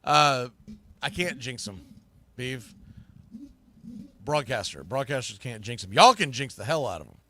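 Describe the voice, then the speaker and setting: Bright, forceful male sports talk host. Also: mid-range voice, sing-song, unhurried, long pauses, slight background noise. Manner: emphatic, colloquial, conversational. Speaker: sports podcast host and play-by-play broadcaster. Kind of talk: live sports talk, opinionated commentary.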